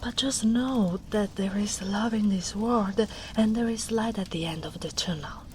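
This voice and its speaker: A woman voicing a gentle, protective female ogre in a soft-spoken roleplay, talking in a hushed, half-whispered way. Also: medium pitch, unhurried, a little hiss or hum, natural voice. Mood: tender.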